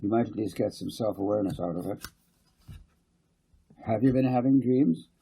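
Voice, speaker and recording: A man voicing a brisk, watchful mother in an audiobook story. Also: mid-range voice, creaky, dark tone, a little hiss or hum, natural voice, poor audio. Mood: probing, calm.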